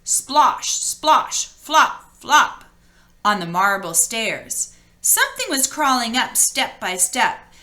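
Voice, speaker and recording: A woman reading a fairy tale aloud as an expressive storyteller, with deliberate dramatic emphasis. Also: on the high side. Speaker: storybook reader.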